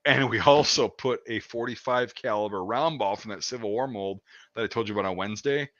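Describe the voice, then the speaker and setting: Male host, casual stream chat, bright tense tone, muffled lo-fi audio, swears freely. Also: lowish voice, fairly quick, no echo. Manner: profane, rambling, restarts often. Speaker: show host.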